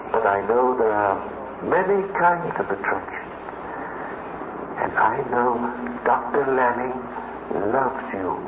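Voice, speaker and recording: A man acting as a telepathic robot in a 1950s radio drama, deliberate, theatrical and insinuating. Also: mid-range voice. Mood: gently knowing. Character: telepathic male robot, knowing, insinuating, flattering. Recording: noisy, tinny 1950s radio recording, echoey.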